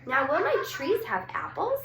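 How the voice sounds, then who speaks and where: High-pitched six-year-old girl, played by a woman, eager, excited, storybook character, roomy echo. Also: unhurried, vocal fry, a crowd in the background, exaggerated voice. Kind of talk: picture-book read-aloud. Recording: roomy echo, some background noise.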